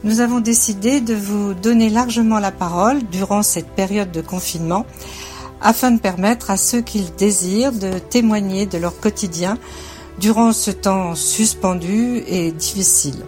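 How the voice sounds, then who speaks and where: A woman's voice: medium pitch, normal speed, a little mellow. A woman hosting a local radio show, introducing her guest and asking prepared questions. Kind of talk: radio interview. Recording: radio studio with music behind.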